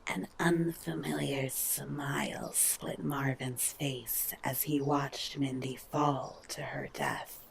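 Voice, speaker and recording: A woman narrating a scripted horror drama in a breathy, whispery, gravelly voice. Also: a bass voice, level tone, slow pace, few pauses, a crowd in the background, poor audio.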